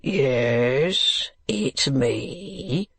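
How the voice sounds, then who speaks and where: A reader voicing a coarse, squat male keeper from a storybook, gruff and blunt, with uneducated speech. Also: medium pitch, expressive, drawn-out words, a bit bright, no room sound, heavily acted. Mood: stolid.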